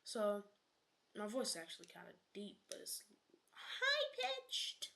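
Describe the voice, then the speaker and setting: A woman's voice: medium pitch, wildly swooping pitch, slow pace, vocal fry, sharp tone. A young woman streaming a game, chatting casually and rambling off on sidetracks, often correcting herself and dropping into whispered asides.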